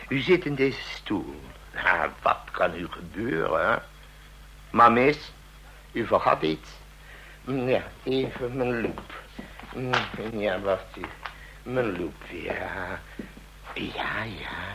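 A man's voice: on the low side, a bit bright, slight background noise, exaggerated voice, poor audio. Een man die in een hoorspel een babbelzieke, scherpzinnige oude tandarts speelt, bedachtzaam en licht dreigend, vol gemompelde herhalingen. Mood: probing, murmuring. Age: older. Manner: deliberate, musing, with murmured repeats and rhetorical questions. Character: chatty old dentist, shrewd, musing, quietly menacing. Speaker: dentist. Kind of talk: radio drama acting.

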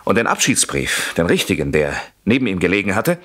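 Insistent scheming husband, highly animated delivery. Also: male voice, a little fast, heavily acted. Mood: calculated confession. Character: Mr Warren, scheming jealous husband confessing to police inspector. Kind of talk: German radio play acting.

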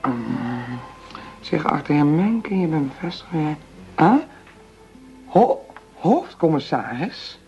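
Casting: excitable snob of a wife, very animated and gushing, radio drama with dull sound. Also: female voice, deep voice, takes it slowly, gravelly, long pauses, exaggerated voice. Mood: surprised, gleeful. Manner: gushing, exclamatory. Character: snobbish, excitable, status-hungry wife. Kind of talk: radio play acting. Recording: dull radio recording, faint music.

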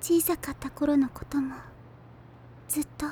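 A very high-pitched, soft-spoken teenage girl from an anime audio drama. She is devoted and fragile, choosing her polite words with hesitation. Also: steady tone, measured pace. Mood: hesitant, wistful.

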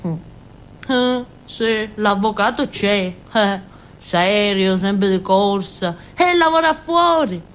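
An actress playing a naive, chatty village fool in a theatrical monologue, with a southern Italian accent and a rambling, giggly way of talking. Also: female voice, medium pitch, lively intonation, slow pace, a little hiss or hum. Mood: excited, rambling. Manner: rambling, giggly, with frequent interjections and tag questions.

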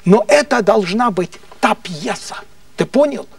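Мужчина изображает слегка пьяного советского партийного начальника, который покровительственно и насмешливо угрожает, повторяясь и переспрашивая. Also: male voice, high-pitched, animated, unhurried, vocal fry, no echo, recorded from a distance, heavily acted. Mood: veiled threat. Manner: patronizing, repetitive, insinuating questions. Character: menacing, mocking Soviet party official, slightly drunk.

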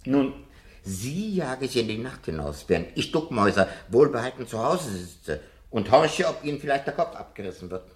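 An actor playing a sly, fervent old professor in a 1970 radio play, theatrical and often dropping into breathy, conspiratorial whispers. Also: male voice, mid-range voice, sing-song, moderate pace, natural voice. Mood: sly, self-mocking. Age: older.

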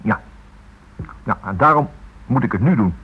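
A man's voice: medium pitch, expressive, moderate pace, slightly rough. An actor playing an earnest writer in a Dutch radio play, hesitant and eager as he stammers through his sentences. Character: earnest, hesitant male writer researching a criminal. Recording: muffled, noisy old radio play recording.

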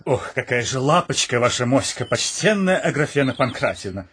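An actor as a gushing, animated flatterer. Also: male voice, medium pitch, moderate pace, close to an everyday voice. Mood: fawning. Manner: gushing, ingratiating. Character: fawning, eager, sugary flatterer courting favor. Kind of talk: comic radio play acting. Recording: clear background noise.

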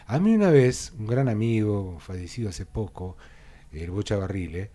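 An older Argentine man hosting a small-town radio chat, his voice low and mellow. He talks in a rambling, anecdotal way, stopping and restarting around fillers. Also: lively intonation, normal speed. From Buenos Aires. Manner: chatty, anecdotal, with frequent fillers. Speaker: radio host. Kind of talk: local radio interview.

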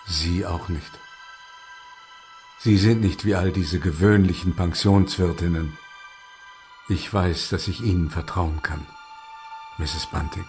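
A mysterious, courteous gentleman lodger in a radio play. He speaks earnestly in a low voice, taking his time over every word. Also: fairly even intonation, takes it slowly, long pauses, faint music behind. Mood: earnest, confiding. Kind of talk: radio drama acting.

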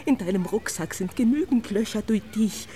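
A woman's voice: mid-range voice, expressive, a little fast, dark tone, lightly acted. A woman playing a prim, easily frightened little doll-like creature in a children's radio drama, theatrical and old-fashioned in speech, prone to wailing and stammering. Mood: haughty, refined.

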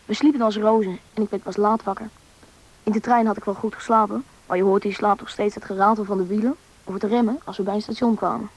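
A woman's voice: medium pitch, steady tone, fast, breathy edge, low-quality recording. A woman playing a polite, inquisitive young boy who also narrates his story in a Dutch radio play. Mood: reflective narrating. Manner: inquisitive, wondering.

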